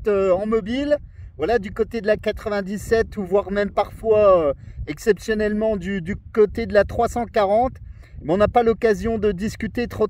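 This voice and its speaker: Man, high-pitched voice, hobby radio operator, casual chat, friendly, rambling, repetitive phrasing. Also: darkish tone, a little hiss or hum. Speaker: amateur CB radio operator and video maker. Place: outdoors in a forest area, mobile radio.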